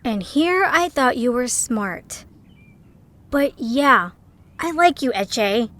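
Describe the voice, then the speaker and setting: Woman playing a haughty, high-voiced young creature girl. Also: lively intonation, takes it slowly, a bit bright. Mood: exasperated, confessing. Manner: scornful, condescending. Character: haughty, self-important young female Zoombini with hurt pride. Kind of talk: amateur audio drama acting.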